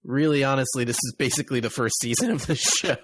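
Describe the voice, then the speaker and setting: A man's voice: mid-range voice, lively intonation, normal speed, husky, no echo. An American man hosting a podcast, chatting casually about games and media. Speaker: podcast host.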